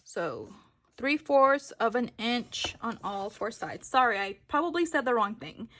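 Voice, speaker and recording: A woman's voice: fairly high pitch, very animated, a little slow, fairly bright tone. A woman giving a casual step-by-step craft tutorial, informal, correcting herself and thinking aloud as she goes.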